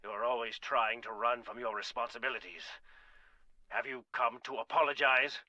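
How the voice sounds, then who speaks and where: A man's voice: mid-range voice, moderate pace, bright, forceful tone, no echo, lo-fi sound. A harsh, piercing, bitter old father. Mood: accusing, scornful. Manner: scolding, severe. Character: stern, bitter old father, a religious zealot. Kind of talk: video game cutscene acting.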